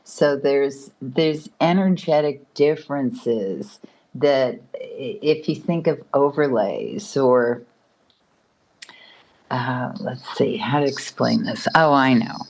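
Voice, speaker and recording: A middle-aged woman astrologer giving a casual weekly forecast, talking in an unhurried, rambling way with restarts and soft laughs. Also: a bass voice, animated, poor audio. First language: not Spanish (lives in Mexico).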